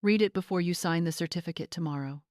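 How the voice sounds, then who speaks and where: A woman's voice: on the low side, fast, a little mellow, no echo, clean studio sound, close to an everyday voice. A woman voicing a cool, professional male messenger in an audiobook, formal and precise. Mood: coolly formal.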